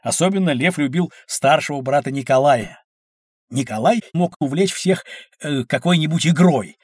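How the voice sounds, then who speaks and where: A kindly, learned uncle in an educational audio play. His fairly high, slightly husky voice moves in lively swings as he explains things to children, recorded close and dry. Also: normal speed, no echo. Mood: explanatory, kindly. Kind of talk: scripted educational audio drama.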